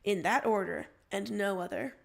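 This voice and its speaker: A woman's voice: medium pitch, moderate pace, creaky. A woman reading a story aloud as a narrator, telling it in the second person.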